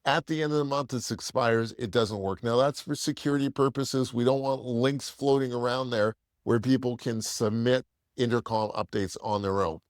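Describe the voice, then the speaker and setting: A man's voice: medium pitch, steady tone, normal speed, no room sound, studio quality. A man presenting a casual product demo in a plain-spoken, explanatory, conversational way.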